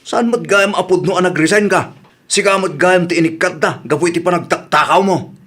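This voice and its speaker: A man's voice: sits high, brisk, a bit bright, husky. A man playing a suspicious Ilocano Filipino husband in a radio drama, probing and grumbling as he talks. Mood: confronting.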